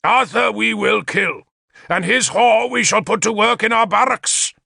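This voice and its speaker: Man playing a forceful, high-pitched, imperious warlord king. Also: lively intonation, moderate pace, creaky, breathy edge, dry recording, clean studio sound, heavily acted. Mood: scornful rage. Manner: declamatory, menacing, commanding.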